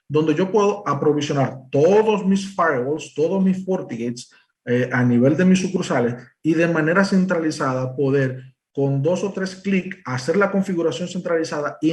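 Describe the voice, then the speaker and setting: A man with a Dominican Spanish accent presents a technical product talk, walking listeners through each idea. His voice sits a little high for a man. He leans on fillers and restarts his sentences often. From Dominican Republic. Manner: explanatory, filler-heavy with frequent restarts. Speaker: presales engineer presenting.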